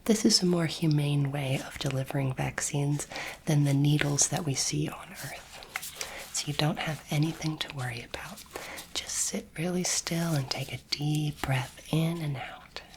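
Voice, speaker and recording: Soft whispery asmr lady playing a kind alien doctor, lowish voice, reassuring, close mic, noticeable hiss behind it. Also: steady tone. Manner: soothing, gentle, reassuring, unhurried. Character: kindly, calm female alien space doctor examining a human. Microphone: close ASMR microphone.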